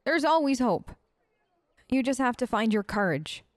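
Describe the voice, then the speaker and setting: A woman gamer talks through a role-playing game in character. Her voice is fairly high and recorded very dry, and she is chatty and expressive in her reactions. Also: normal speed, no room sound. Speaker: gamer, let's player.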